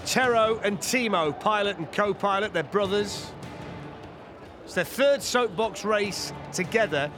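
A high-pitched man calls a soapbox race live at an outdoor track, reacting quickly and cracking jokes. Music plays clearly behind him. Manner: excitable, quick reactions, jokey. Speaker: race commentator. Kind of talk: live sports commentary.

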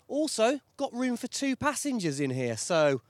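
A man with a high voice presents a race broadcast with playful, upbeat banter. He jokes with his co-host and hands back to the commentator. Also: big pitch swings, moderate pace, no echo. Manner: jokey, enthusiastic.

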